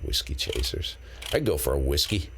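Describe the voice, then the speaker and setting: A man's voice: a bass voice, expressive, normal speed, noisy background. A man running a ham radio livestream, mixing casual chat with radio calls. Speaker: amateur radio operator live-streaming a contest. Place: cold basement radio room.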